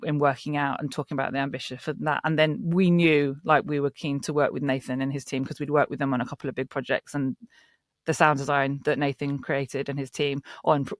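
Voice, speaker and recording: A man's voice: fairly high pitch, no echo, studio quality. A man talking enthusiastically as a producer in a panel interview. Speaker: audio drama producer.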